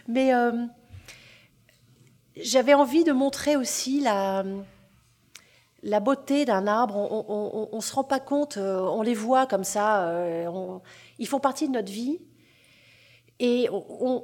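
A woman novelist talking in an interview, lively and self-deprecating, with frequent hesitations. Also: fairly high pitch. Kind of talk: interview conversation.

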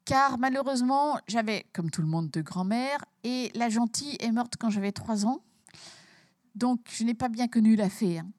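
A woman's voice: a little high, normal speed, a little mellow, no room sound, clean studio sound. A woman author from Belgium, talking emphatically about her book in an interview and repeating words as she starts her sentences.

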